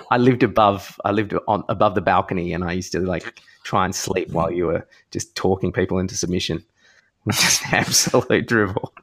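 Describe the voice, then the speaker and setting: A man's voice: deep voice, moderate pace, a bit bright. A young Australian man co-hosting a comedy podcast with blunt, teasing banter and comic rants. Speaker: podcast co-host. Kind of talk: casual comic banter and ranting.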